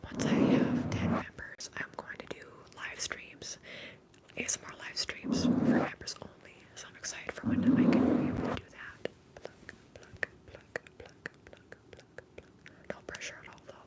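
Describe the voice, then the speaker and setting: Breathy, close-up whispering ASMR woman. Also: normal speed, rough recording. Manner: soft, repetitive trigger words. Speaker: ASMR live streamer. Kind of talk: ASMR whispering, chatting with live viewers. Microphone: close-up microphone.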